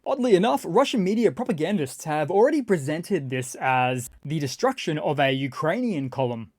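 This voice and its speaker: A news commentator narrating scripted war-map updates in a measured, explanatory way with wry asides. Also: medium pitch, lively intonation, no echo, clean studio sound. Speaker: news commentator and channel host.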